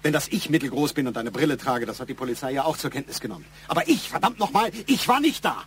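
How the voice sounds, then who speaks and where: An insistent, probing boss. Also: male voice, on the high side, very animated, fast talker, slight background noise. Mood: exasperated. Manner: pointed, probing, matter-of-fact. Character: insistent middle-aged male boss pressing his employee. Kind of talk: German radio crime drama acting.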